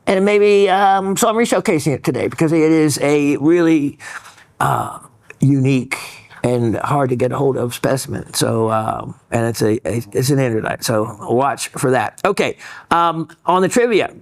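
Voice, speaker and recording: A man hosting a gemstone show, reading viewer letters and chatting in a rambling way with many pauses and restarts. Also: medium pitch, lively intonation, no room sound. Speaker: host reading viewer mail. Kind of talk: casual educational chat.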